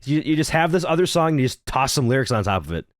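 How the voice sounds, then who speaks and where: A man's voice: medium pitch, sing-song, no room sound, studio quality. A man in his twenties co-hosting a podcast, rattling through news in casual chat. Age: 20s. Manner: fast, chatty, runs through news. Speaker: podcast co-host.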